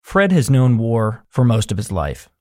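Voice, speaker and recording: A man hosting a podcast, reading scripted narration in a measured documentary way. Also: medium pitch, sing-song, darkish tone, dry recording. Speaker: podcast host.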